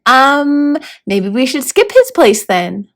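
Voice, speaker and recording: A woman's voice: high voice, big pitch swings, slow, a bit bright, voice pushed up, exaggerated voice. A woman voicing a plucky, wry young schoolgirl heroine with a casual, questioning manner in a storybook read-aloud. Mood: nervous, joking.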